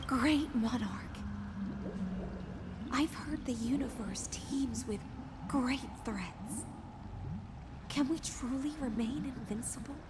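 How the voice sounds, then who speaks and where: A woman voicing a melancholy knight of the sea in a video game, speaking in a measured, poetic, solemn way. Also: a little high, background noise. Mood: wary. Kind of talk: scripted game voice acting. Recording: echoing voice under loud music.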